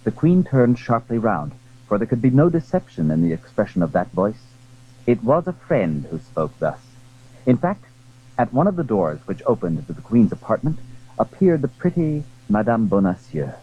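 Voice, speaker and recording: Low, husky male dramatic narrator. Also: sing-song, moderate pace. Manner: formal storytelling. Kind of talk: dramatic scripted literary narration. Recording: muffled, narrow-band 1980s audio drama recording.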